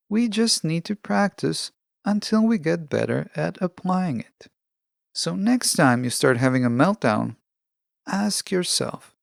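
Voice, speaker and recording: A podcast host delivering a scripted self-help monologue in a measured, instructional way with deliberate phrasing. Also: medium pitch, vocal fry, a little mellow, dry recording, studio quality.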